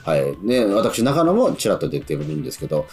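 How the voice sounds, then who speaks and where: A man's voice: low voice, huge pitch swings, a little fast, a little mellow, breathy edge. A man hosting a radio talk show, chatting politely as a presenter. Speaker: radio show host and actor. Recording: music playing behind the voice.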